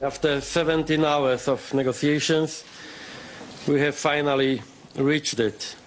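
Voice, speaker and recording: A man's voice: a little high, steady tone, a little slow, slight background noise, poor audio. Man giving a formal press statement.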